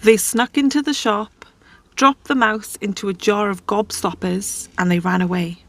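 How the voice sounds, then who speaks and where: A woman's voice: medium pitch, steady tone, normal speed, a bit bright, dry recording. Chatty woman telling a story. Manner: chatty, conversational, with asides. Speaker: host. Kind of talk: casual storytelling narration.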